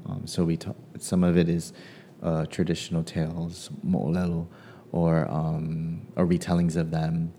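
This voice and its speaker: Man, casual musing, deep mellow voice, soft guitar behind; lots of ums, unhurried. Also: monotone, creaky, slight background noise. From Hawaii. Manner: hesitant, many ums and pauses. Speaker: playwright. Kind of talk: casual personal reflection.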